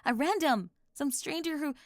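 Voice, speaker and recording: A woman acting an organized, high-strung young cheerleader in an intimate audio roleplay, flustered, fussy and emphatic yet affectionate. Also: fairly quick, no room sound, clean studio sound, close to an everyday voice. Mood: flustered, excited.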